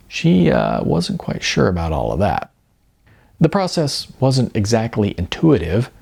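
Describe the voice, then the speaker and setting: Animated, creaky-voiced man reading aloud. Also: lowish voice. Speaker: author reading own novel. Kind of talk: audiobook narration.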